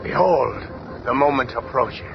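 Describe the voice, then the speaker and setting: A man's voice: medium pitch, animated, unhurried, gravelly, lo-fi sound, background noise. An actor playing a deferential alien court wizard who advises his ruler formally in a 1970s superhero radio drama. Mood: satisfied. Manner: formal, explanatory.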